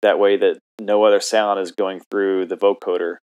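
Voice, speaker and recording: A man with a fairly low voice explains a music-software routing setup in a casual, halting way. He stops and restarts often and fills gaps with ums. It sounds like a mono mic in a home recording setup. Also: flat delivery, smooth, narrow, tinny audio. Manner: hesitant, many ums and restarts. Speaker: tutorial host.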